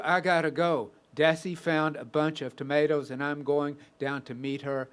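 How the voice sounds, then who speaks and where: A man's voice: on the high side, lightly acted. A man telling a comic story as a weary, rambling deer with a folksy, conversational manner. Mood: wry, content. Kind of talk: first-person comic storytelling.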